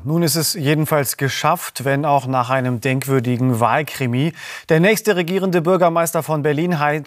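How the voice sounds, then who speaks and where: A man's voice: mid-range voice, dry recording. A middle-aged man presenting the news from a script in a studio.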